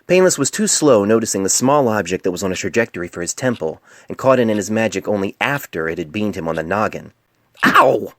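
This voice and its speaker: Male amateur narrator, low-pitched, reading prose fiction into a phone mic with a dry, close sound. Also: animated, fast, few pauses, no room sound. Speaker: author narrating own story. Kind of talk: scripted fan fiction narration. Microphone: cell phone microphone.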